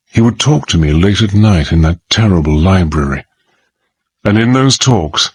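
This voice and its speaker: An actor playing a gentleman adventurer who recounts a dangerous undercover exploit in a grave, confiding manner, as dramatized first-person narration. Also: deep voice, husky.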